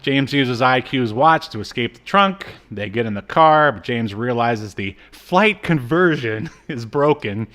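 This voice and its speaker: A man's voice: mid-range voice, lively intonation, unhurried, few pauses, fairly bright tone. A man co-hosting a podcast, giving a casual, comic recap with incredulous, mocking asides. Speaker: podcast co-host.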